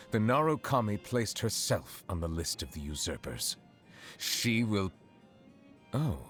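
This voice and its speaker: A man voicing an ancient dragon judge in human form for a video game, speaking in formal, measured, stately diction with courtly reserve. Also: low voice. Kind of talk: scripted game character voice lines.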